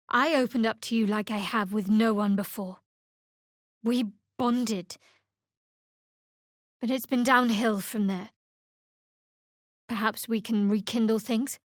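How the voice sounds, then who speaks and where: An actress playing a guarded, devout young woman cleric in a video game, with a wry, measured delivery. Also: on the high side, a bit bright, dry recording, clean studio sound. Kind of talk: video game character voice acting.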